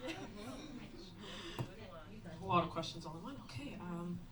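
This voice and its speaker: A woman's voice: fairly low pitch, expressive, moderate pace, over a noisy crowd. A woman dancer answering audience questions in a casual, candid, rambling way. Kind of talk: post-show talkback. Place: performance venue. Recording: distant mic, faint ambience.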